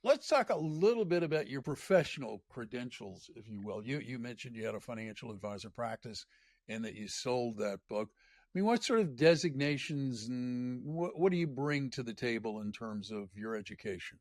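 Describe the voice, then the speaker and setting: A man co-hosting a podcast, telling stories in an animated way with big jumps in pitch. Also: normal speed, no echo, clean studio sound. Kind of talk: casual interview chat.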